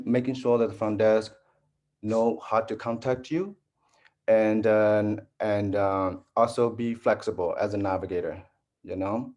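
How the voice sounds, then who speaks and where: Halting low-voiced man from Burma. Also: a little mellow. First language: Po Karen. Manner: halting, many restarts and fillers. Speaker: dental health navigator. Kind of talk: panel discussion.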